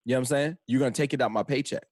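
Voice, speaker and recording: Man from Trinidad, casual talk host, slangy, close dry mic, mid-pitched voice. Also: racing, darkish tone, no echo. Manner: loose, talkative, fond of slang. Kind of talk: casual radio chat.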